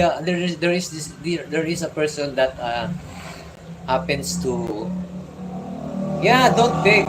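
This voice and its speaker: A middle-aged Filipino man talks casually on a livestream, his voice sitting a little high for a man. He stumbles, repeats and restarts words as he gives advice like a father would. A faint hum of ambience stays low behind him. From Philippines. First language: Tagalog. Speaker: vlogger.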